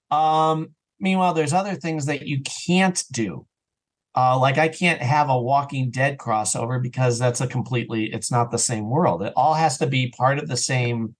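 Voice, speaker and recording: A man chatting casually as an interview guest, animated and often voicing his own thoughts as quoted self-talk. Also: mid-range voice. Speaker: podcast guest, audio drama creator and voice actor.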